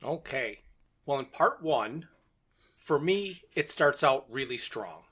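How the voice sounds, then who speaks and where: A man's voice: medium pitch, lively intonation, slow, a bit bright, husky. A man co-hosting a podcast, casually discussing and retelling a story in a conversational way. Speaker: podcast co-host.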